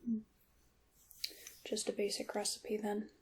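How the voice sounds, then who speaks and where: A woman voicing a polite, secretive witch shopkeeper in a hushed, unhurried ASMR roleplay, moving between whispers and soft formal speech. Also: mid-range voice, monotone, slightly rough, low-quality recording. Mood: attentive, instructive.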